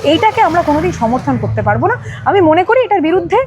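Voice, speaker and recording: A woman's voice: very high voice, big pitch swings, fast talker, noisy background. A woman with a Bengali accent giving emphatic political comment as an interview guest, in long, repetitive sentences.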